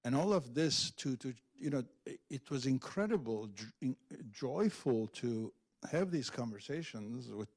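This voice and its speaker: A man's voice: medium pitch, lively intonation, measured pace, darkish tone. A man speaking in a discussion after a reading, searching for words and often checking in with the listeners. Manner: hesitant, searching, often says "in fact" and "right". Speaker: speaker discussing a poetry translation. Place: public event room at a library.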